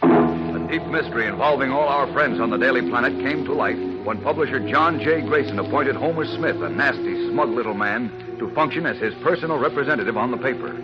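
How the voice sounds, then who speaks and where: Old time radio guy narrator, dramatic, medium pitch, music playing behind him. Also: lively intonation, fairly quick. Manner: dramatic, emphatic. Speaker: announcer and narrator. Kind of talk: 1940s radio serial narration. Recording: muffled old radio recording.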